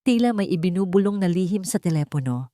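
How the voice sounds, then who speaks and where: A woman's voice: on the low side, lively intonation, fast, warm tone, no room sound, clean studio sound. A woman reads a scripted dramatic story with a solemn, emotive storytelling delivery. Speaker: audio drama narrator. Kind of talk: dramatic scripted narration of a melodrama.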